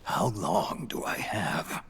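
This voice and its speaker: A man playing a weary, prematurely old soldier in a game cutscene, terse and stoic with a hushed rasp. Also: low-pitched, lively intonation, slow, whispered, heavily acted. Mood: weary, wary. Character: weary, terse, rapidly aging male soldier. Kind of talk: dramatic video game cutscene acting.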